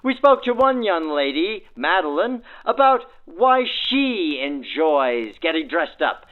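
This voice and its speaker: A man's voice: on the high side, very animated, slow. A man hosting on-location interviews in a polite, articulate, formal way, through a vintage 1940s radio filter. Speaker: interviewer and show host. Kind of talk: on-location interview with narrated links. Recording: tinny narrow-band old radio sound.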